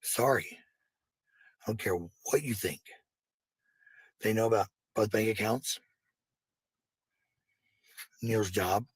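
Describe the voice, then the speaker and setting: A man's voice: on the low side, slightly rough, no room sound, poor audio. A man telling personal stories in a casual, blunt, defensive way, with some swearing. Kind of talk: casual personal storytelling and venting.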